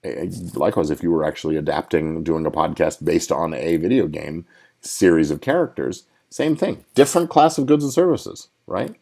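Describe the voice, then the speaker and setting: A man's voice: low-pitched, expressive, moderate pace, creaky, mellow. A middle-aged man hosting a podcast, giving casual legal advice and thinking aloud as he goes. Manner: conversational, thinking aloud with restarts. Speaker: podcast host and entertainment lawyer. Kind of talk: casual live advice talk.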